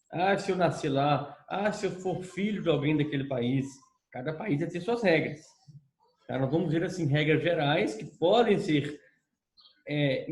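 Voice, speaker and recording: A man's voice: fairly high pitch, darkish tone. A man with a Brazilian Portuguese accent giving a recorded law lecture, explaining step by step and checking in with his students. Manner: explanatory, repeats points with "tá?" check-ins. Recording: echoey room.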